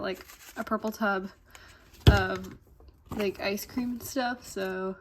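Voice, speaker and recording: A woman's voice: medium pitch, noisy background. A young woman vlogging a toy unboxing in a casual, chatty way, full of "like" and "um", sounding a little congested. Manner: chatty, fillers "like" and "um", easily delighted. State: slightly congested.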